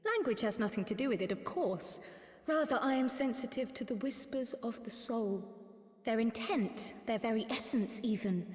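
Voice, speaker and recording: A woman playing a learned, gentle mystic in a fantasy game, speaking formally and with measured, articulate phrasing. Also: on the high side, lively intonation, close to an everyday voice. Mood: earnest, explaining. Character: learned, gentle female mystic who hears souls. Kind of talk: scripted fantasy game acting. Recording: echoey hall reverb.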